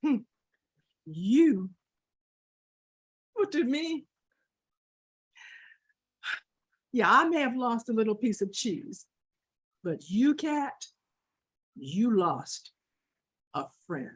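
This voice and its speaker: A woman's voice: on the high side, animated, slow, long pauses, slightly rough, exaggerated voice. A woman storyteller voicing an emphatic, fair-minded male dog friend in an animal folktale. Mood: hurt, indignant.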